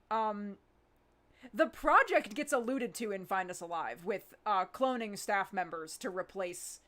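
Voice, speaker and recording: A woman talking informally in a live Q&A as a show's creator, thinking aloud and pausing as she goes. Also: medium pitch, a bit bright. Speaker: audio drama writer and producer. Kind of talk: casual chat in a live Q&A.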